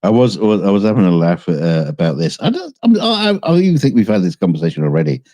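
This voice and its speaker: A man's voice: sits low, very animated, quick pace, warm tone. A man co-hosting a podcast, chatting casually with rambling restarts. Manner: rambling, stammering restarts, laughs at own jokes. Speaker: podcast co-host. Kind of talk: casual chat.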